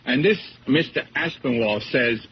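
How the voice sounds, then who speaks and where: A man's voice: mid-range voice, a little slow, creaky, husky, exaggerated voice. An actor playing a good-natured, folksy fisherman who rambles on colloquially in a 1970s radio drama. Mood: chatty, animated. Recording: dull, noisy old radio recording.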